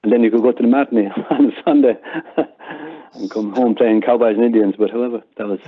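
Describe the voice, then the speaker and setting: A man's voice: mid-range voice, fairly even intonation, quick pace, breathy edge. An older man with an Irish accent speaks in a casual, conversational way, as if reminiscing in an interview. He often repeats words as he goes. His voice comes through a muffled, narrowband line that sounds like a phone call. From Ireland. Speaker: interview guest.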